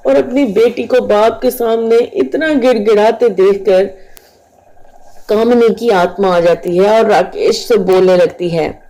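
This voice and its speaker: A woman's voice: medium pitch, unhurried, dark tone, rough recording. A woman narrating a scripted moral story as the storyteller.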